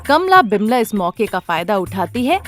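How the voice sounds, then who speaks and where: A woman's voice: mid-range voice, sing-song, normal speed, faint music behind. A woman narrator reading a scripted moral story for a cartoon.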